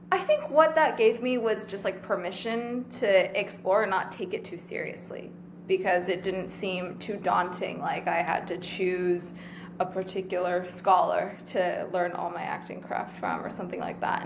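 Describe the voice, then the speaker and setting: A person in their twenties from Taiwan, chatting casually as an interview guest, relaxed and rambling with lots of fillers. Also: female voice, mid-range voice, a little hiss or hum. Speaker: guest actor.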